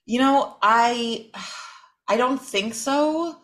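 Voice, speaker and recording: A young woman, a writer and critic, talking in a relaxed interview and pausing often with ums to find her words. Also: fairly high pitch. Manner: thoughtful, many ums and restarts. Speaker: podcast guest, author and critic. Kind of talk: casual interview conversation.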